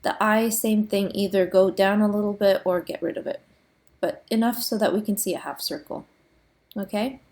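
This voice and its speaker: A woman teacher giving recorded design critiques to her class, with allergies and some sniffling, talking in a relaxed way with frequent ums. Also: mid-range voice, normal speed. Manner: relaxed, frequent ums and uhs, gently corrective.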